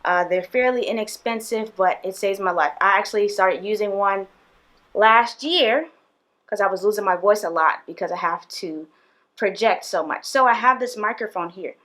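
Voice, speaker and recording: A woman's voice: medium pitch, moderate pace, a bit bright. A young woman, a teacher, talks to the camera in a small indoor room. Her delivery is chatty and direct, full of fillers and asides to the viewer. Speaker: PE teacher and video host. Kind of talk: casual talking-head tips video.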